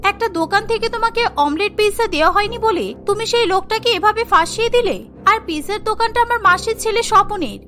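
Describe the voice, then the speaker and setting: A woman's voice: very high-pitched, bright, forceful tone, heavily acted. A woman voicing a stern, reproachful sister-in-law in a cartoon, in a scolding, accusing manner. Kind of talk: cartoon dialogue acting.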